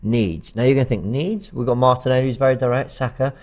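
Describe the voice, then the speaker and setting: A man's voice: medium pitch, quick pace, darkish tone. A man co-hosting a live football fan show, talking casually and rambling with lots of fillers and restarts. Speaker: fan channel co-host.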